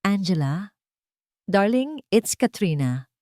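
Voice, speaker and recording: A woman's voice: medium pitch, very animated, slow pace, dark tone, no room sound, studio quality, pitched above the performer's own voice. A woman voicing a scheming young rival in an audio love story, sugary and condescending, sliding between English and Tagalog. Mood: falsely sweet. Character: scheming, syrupy-sweet young rival woman, venomous underneath.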